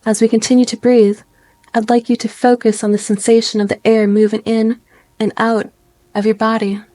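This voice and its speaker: A woman guiding a meditation in a gentle, soothing way, speaking in slow phrases with pauses. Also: a little high, little pitch movement, no room sound, rough recording. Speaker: meditation guide. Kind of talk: guided meditation narration.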